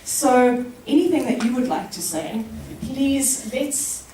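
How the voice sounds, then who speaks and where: A woman hosting an informal live interview in front of an audience, with a loud, chatty way of speaking. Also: high-pitched, lively intonation, takes it slowly, echoey room, recorded from a distance, slight background noise, low-quality recording. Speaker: event host interviewer.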